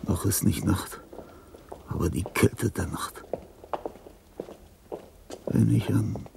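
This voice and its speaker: An actor playing a dying, lonely old composer in a radio drama, bitter and imperious, with a husky, gravelly, halting delivery. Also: male voice, lowish voice, fairly even intonation, noisy background, heavily acted. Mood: weary, bitter. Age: older. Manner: halting, theatrical, swinging between muttering and outbursts. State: weak, shivering with cold. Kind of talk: German radio drama monologue.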